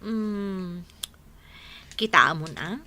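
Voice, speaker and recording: Bright, lively, nosy young Ilocana. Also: female voice, lowish voice, extremely slow, full character voice. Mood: chatty. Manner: exclamatory, teasing. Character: lively, nosy young single woman, eager friend. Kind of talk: Ilocano radio comedy-drama acting.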